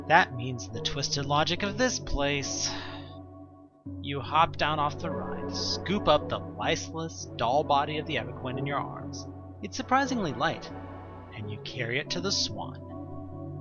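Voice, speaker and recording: A man reading scripted second-person horror narration in a suspenseful, expressive storytelling manner with deliberate pauses. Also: fairly high pitch, dull audio. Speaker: narrator of an audio play. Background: music.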